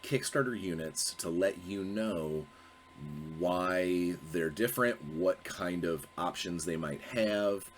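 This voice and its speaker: A low-pitched man talks casually to camera as host of his own show. His voice is stuffy and sniffly from a cold, and he rambles, restarting phrases and filling gaps with ums and uhs. A faint hum sits behind him. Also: slow. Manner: rambling, self-correcting, many fillers. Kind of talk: casual solo video talk.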